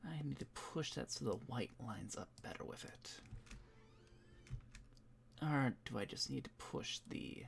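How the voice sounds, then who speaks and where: A man streaming as he edits a comic, muttering quietly to himself and answering chat in a casual way. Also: mid-range voice, expressive, measured pace, gravelly, long pauses, slight background noise.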